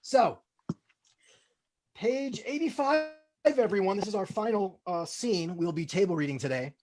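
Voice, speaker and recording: A man's voice: sits high, animated, a little slow. A man hosts a comedy table read. He talks casually to the group and then reads scene settings as a narrator. The delivery moves between offhand chat and scripted narration. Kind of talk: casual hosting with scripted stage-direction narration.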